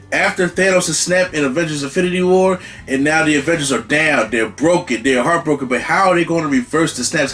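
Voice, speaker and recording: A man hosting his own channel, giving an excited spoken movie review with lots of restarts and emphatic repetition. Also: a little high.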